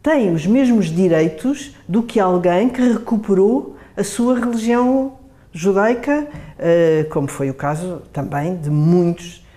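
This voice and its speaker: A middle-aged woman with a European Portuguese accent explaining in an interview, halting and often rephrasing. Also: mid-range voice, mellow. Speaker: interviewed expert. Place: bright room by a window, indoors.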